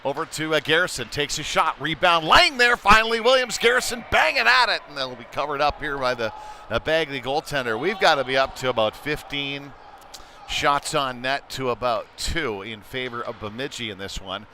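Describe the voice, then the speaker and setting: A man's voice: medium pitch, animated, normal speed, bright, forceful tone, slight background noise. A man doing live play-by-play commentary of a youth hockey game, with conversational, somewhat hesitant phrasing between plays. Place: indoor ice rink.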